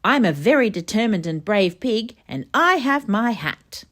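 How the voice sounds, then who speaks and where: A woman voicing a determined, excitable little male piglet in a children's storybook, with a proud, exclamatory delivery. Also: medium pitch, unhurried, pitched above the performer's own voice. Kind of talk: children's storybook read-aloud.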